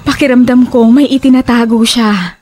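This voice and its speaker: A woman's voice: fairly high pitch, fairly even intonation, moderate pace, a little mellow, a little hiss or hum, lightly acted. A woman acting a frightened, suspicious young woman who pleads insistently with a friend in a horror audio drama. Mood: wary, insistent.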